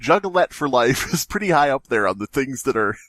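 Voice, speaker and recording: Animated male podcaster in a dry-sounding space. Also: medium pitch, a little fast, no room sound. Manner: animated, sarcastic storytelling, profane. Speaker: podcast host. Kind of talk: casual comic chat.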